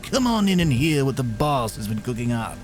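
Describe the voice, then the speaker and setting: An actor playing a smug, theatrical clown villain with a mocking delivery in a comic dub. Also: male voice, mid-range voice, very animated, a little fast, music in the background, lightly acted. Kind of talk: dramatic comic dub acting.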